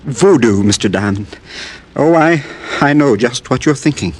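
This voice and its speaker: An actor playing a sick, tired farmer from the West Indies in a 1950s radio drama, talking quietly and haltingly. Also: male voice, medium pitch, expressive, lightly acted. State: ill, weak. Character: sick weary Haitian farmer, middle-aged man.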